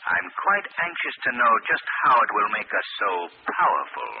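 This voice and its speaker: A man acting a mysterious, invisible crime fighter, formal, deliberate and coolly sardonic, in 1940s radio style. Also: medium pitch, big pitch swings, natural voice. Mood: coolly probing. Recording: muffled, hissy old radio broadcast.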